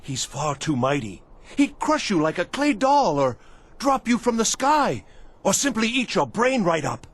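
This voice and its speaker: Casting: desperate, devoted servant to a mad master, game role; higher-set husky voice, theatrical, lurching from pleas to awe. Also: male voice, big pitch swings, a little slow, heavily acted. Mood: nervous warning. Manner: theatrical, swinging from pleading to hushed awe. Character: frantic, pleading servant devoted to his vanished mad master. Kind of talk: video game character acting.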